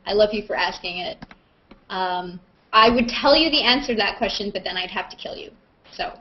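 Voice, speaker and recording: A woman's voice: mid-range voice, fairly quick, a little hiss or hum. A woman chatting casually and playfully as she hosts a live question-and-answer video call. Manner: playful, joking, self-mocking. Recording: muffled, lo-fi internet video call.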